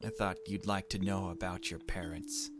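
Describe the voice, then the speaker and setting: A man voicing a cryptic, evasive anonymous texter in a visual novel, calm and measured. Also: low-pitched, background noise, lightly acted.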